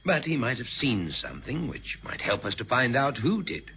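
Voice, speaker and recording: An actor playing a courteous, probing police inspector in a radio drama, formal and quietly insinuating. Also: male voice, mid-range voice, big pitch swings. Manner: formal, polite, deliberately insinuating. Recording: noisy old radio drama recording.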